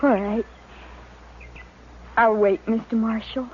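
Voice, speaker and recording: A woman's voice: a little high, fairly even intonation, takes it slowly, over loud music. A woman playing an anxious, devoted young frontier daughter with an American accent, hesitant and pleading, in a 1950s radio western. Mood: timid, pleading. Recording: tinny, hissy old radio recording.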